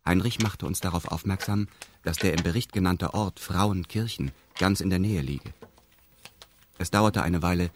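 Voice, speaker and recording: An actor playing a detached male narrator in a radio drama, speaking in a formal, meticulous, deadpan manner. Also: deep voice, lightly acted. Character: detached male narrator recounting a weekend with pedantic precision. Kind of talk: scripted first-person radio drama narration.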